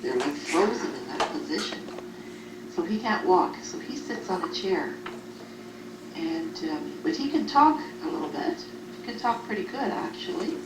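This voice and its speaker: Low-voiced woman on a noisy cassette tape. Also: sing-song, moderate pace, roomy sound, far from the mic. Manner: simple explanatory phrasing, as to a small child. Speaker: group home care worker. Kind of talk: recorded audio letter talking to a child. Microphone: cassette recorder at a distance.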